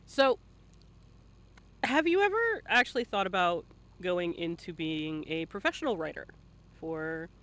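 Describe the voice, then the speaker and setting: A man's voice: sits high, very animated, a little slow, long pauses, pushed and bright. A man hosting a casual live-streamed interview in an informal, joking way. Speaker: interviewer and host.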